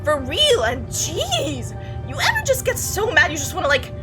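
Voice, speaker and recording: A woman's voice: high voice, wildly swooping pitch, moderate pace, music in the background. A woman voicing a talkative, oblivious and creepy young male bus passenger in a visual novel, rambling on breezily with casual menace. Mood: boastful, rambling. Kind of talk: acted visual novel dialogue.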